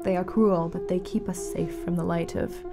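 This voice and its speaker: A woman playing a grave, mournful radio announcer in a fiction podcast, speaking solemnly and dramatically with weighted pauses. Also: on the low side, fairly even intonation, vocal fry, music in the background. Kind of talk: scripted fiction-podcast narration as a community radio broadcast.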